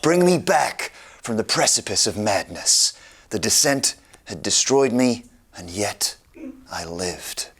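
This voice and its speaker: A man's voice: fairly low pitch, expressive, slow, a bit bright, husky. A man hosting a game show, reading out quotes in a theatrical, playful manner. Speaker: quiz host.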